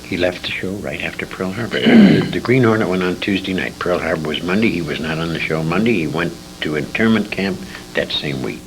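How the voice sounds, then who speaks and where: Deep, gravelly older man, emphatic storyteller. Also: expressive, fairly quick. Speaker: interview guest, former radio performer. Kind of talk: reminiscing in an interview. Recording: noisy amateur convention interview tape.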